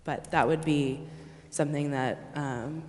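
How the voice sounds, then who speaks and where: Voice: medium pitch, fairly even intonation, measured pace, darkish tone. Hesitant city staffer in a reverberant hall. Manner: hesitant, apologetic. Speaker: staff member presenting bids to a city council. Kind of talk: answering questions in a public meeting. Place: council chamber, indoors. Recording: echoey meeting hall.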